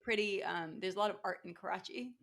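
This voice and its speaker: A woman's voice: medium pitch, quick pace, a bit bright. A woman hosting a podcast interview, asking long, rambling questions with restarts and fillers. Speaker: podcast host. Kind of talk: conversational interview.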